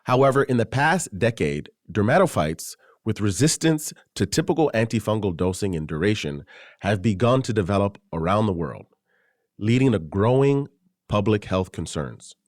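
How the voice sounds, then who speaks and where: A man hosting a journal podcast, reading a composed introduction and then asking questions in a clear, steady way. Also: lowish voice, normal speed, no room sound, clean studio sound. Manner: clear, composed, reads smoothly. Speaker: podcast host, journal web editor. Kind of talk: scripted introduction and interview questions.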